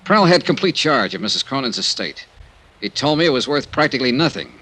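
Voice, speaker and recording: A man's voice: medium pitch, very animated, fairly quick, sharp tone. A man playing a worldly, unsentimental insurance investigator in an old American radio drama, clipped and wry, sometimes narrating. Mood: matter-of-fact, grim. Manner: clipped, matter-of-fact, wry. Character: hard-boiled male freelance insurance investigator, worldly, unsentimental. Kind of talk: 1950s radio drama acting, first-person detective narration. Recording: vintage radio drama recording.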